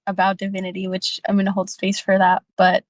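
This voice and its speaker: Woman chatting in a discussion group, kinda hesitant n thoughtful, pretty flat pitch, dry close sound. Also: brisk, no echo. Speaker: book club discussion participant and facilitator. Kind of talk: casual reflective group discussion.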